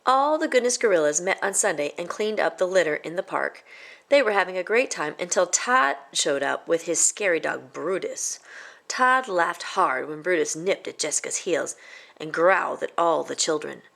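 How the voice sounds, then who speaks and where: A woman reading a children's picture book aloud as expressive story narration. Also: lowish voice, moderate pace, vocal fry. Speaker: storybook reader.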